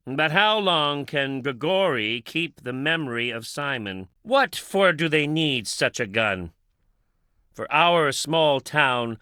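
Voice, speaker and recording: Casting: middle-aged game patriarch, solemn and portentous, bright forceful tone, very dry recording. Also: male voice, mid-range voice, dry recording. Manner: solemn, portentous, formal stilted phrasing. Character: grave, brooding town patriarch guarding his wife's memory. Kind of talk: dramatic video game voice acting.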